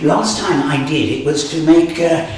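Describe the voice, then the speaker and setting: An actor playing an eccentric old wizard who mutters theatrically to himself, by turns gleeful and grumpy. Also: male voice, fairly high pitch, a little slow, natural voice. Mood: musing. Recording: very echoey room, distant mic, noisy.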